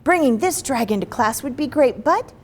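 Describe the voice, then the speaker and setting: A woman's voice: high-pitched, animated, moderate pace, a little hiss or hum, voice pushed up. Woman voicing an earnest little storybook schoolgirl. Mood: thoughtful, hopeful. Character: earnest little schoolgirl, creative, easily discouraged.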